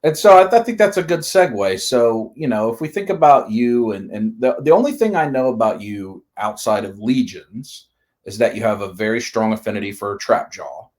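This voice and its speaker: A man's voice: lowish voice, expressive, normal speed, a little mellow. A man in his forties hosting a live stream, asking rambling, enthusiastic questions in casual chat. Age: 40s.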